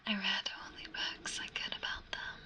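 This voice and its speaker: A woman playing a friendly, gently teasing young alien girl in a soft, whispered ASMR roleplay, close to a binaural microphone. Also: lightly acted. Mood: soft, encouraging. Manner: unhurried, gentle, intimate. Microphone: close binaural 3Dio microphone.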